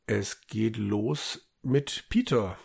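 A man's voice: lowish voice, extremely slow, gravelly, darkish tone, husky. A man co-hosting a podcast, talking casually with an enthusiastic, emphatic manner. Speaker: podcast co-host. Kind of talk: casual conversational podcast chat.

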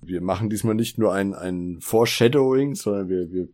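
Low-voiced man chatting on a podcast. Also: moderate pace, darkish tone. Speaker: podcast co-host. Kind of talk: casual podcast review chat about audio drama.

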